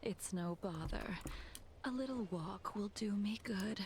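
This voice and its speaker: Woman as a slow, gravelly, cold swordswoman. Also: mid-range voice, a little hiss or hum, natural voice. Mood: calm. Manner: solemn, hushed, measured. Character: cold, weary, long-lived swordswoman nursing old hatred. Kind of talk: video game voice acting. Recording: game music behind.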